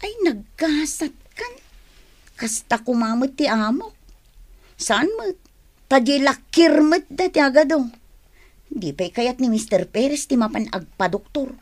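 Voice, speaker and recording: A woman's voice: high-pitched, very animated, measured pace, long pauses, darkish tone, full character voice. A woman with a Filipino accent playing a chatty, complaining fruit stall worker in a radio drama. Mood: gossipy, complaining. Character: grumbling, gossipy female fruit stall worker. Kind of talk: Ilocano radio drama acting.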